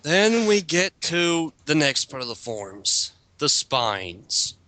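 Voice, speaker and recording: A man's voice: a little high, big pitch swings, slow, bright, forceful tone. An exasperated man reading aloud and commenting casually in a group chat, swearing now and then.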